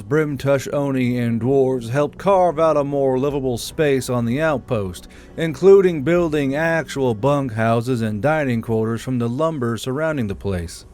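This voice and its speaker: A man's voice: mid-range voice, a little mellow. Male fantasy story narrator, mid-range voice. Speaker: narrator and channel host.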